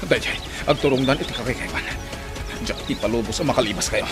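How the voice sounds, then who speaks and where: A man's voice: mid-range voice, quick pace, over music, lightly acted. A man playing a hot-blooded, vengeful hero in a radio drama, with intense, anguished, declamatory delivery. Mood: determined pursuit. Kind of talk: Ilocano radio drama acting.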